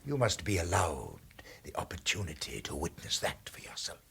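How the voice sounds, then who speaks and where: An actor playing a cold, controlling crime boss in a radio drama, husky, measured, condescending and threatening. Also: male voice, deep voice, huge pitch swings, sharp tone, slight background noise, heavily acted. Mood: quietly threatening. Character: cold, menacing, controlling male crime boss, cruelly possessive.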